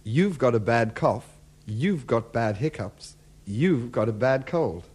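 A man's voice: mid-range voice, lively intonation, slow pace, darkish tone, slightly rough. An actor playing a friendly young man in a cartoon English lesson, speaking plainly and helpfully.

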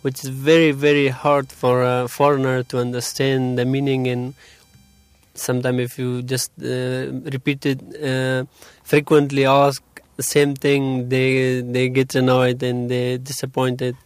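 Young guy, halting and hesitant, flat delivery, dry close sound. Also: mid-range voice, clean tone, no room sound. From Afghanistan. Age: young adult. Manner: hesitant, halting, many pauses. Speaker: interviewee, English learner. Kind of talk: interview answers.

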